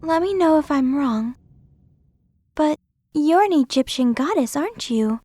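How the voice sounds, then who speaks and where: A woman playing a gentle, caring young female archangel in an audio drama, patient and reassuring in manner. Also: sits high, expressive, unhurried. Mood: gentle, curious.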